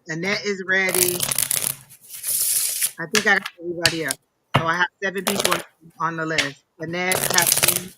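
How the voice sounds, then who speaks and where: A woman hosting online readings in a casual chat, with teasing, mock-stern banter. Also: on the low side. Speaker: host of online psychic readings. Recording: clearly noisy background with clicks.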